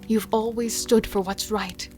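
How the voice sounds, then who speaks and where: An actress playing a caring, devoted young healer in a dark fantasy audio drama, speaking softly and intimately. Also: female voice, medium pitch, fairly even intonation, a little slow, lightly acted. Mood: tender, confessional. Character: caring, soft-spoken young female healer, devoted and mysterious. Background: music with rain sounds.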